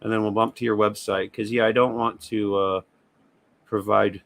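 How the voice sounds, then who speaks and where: A man's voice: fairly low pitch, little pitch movement, moderate pace, gravelly. A man hosting a casual podcast livestream, talking loosely and swearing freely as he thinks aloud. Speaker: podcast host. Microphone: remote stream setup.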